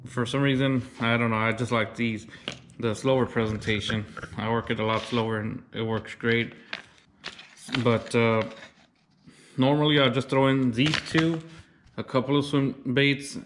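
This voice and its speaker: A man in his thirties talking through his fishing gear in an easy, plainspoken way, saying "y'all" now and then. His pitch stays quite even and level. A faint hiss sits under the camera-mic recording. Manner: relaxed, plainspoken, says "y'all". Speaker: fishing vlogger. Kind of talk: casual show-and-tell. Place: indoors, then a wooden deck.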